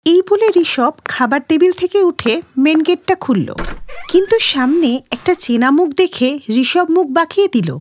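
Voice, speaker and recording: A woman's voice: high voice, animated, moderate pace, a little mellow, a little hiss or hum. A woman narrating a scripted moral story for children as the storyteller.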